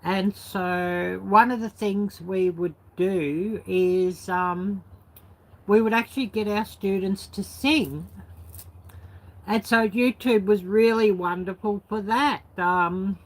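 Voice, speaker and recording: A woman's voice: mid-range voice, fairly even intonation, slow, low-quality recording. A woman vlogger reminiscing in a casual, unscripted way, rambling and colloquial, with lots of filler phrases. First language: English. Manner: rambling, colloquial, peppered with "you know" and "sort of". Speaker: YouTube vlogger.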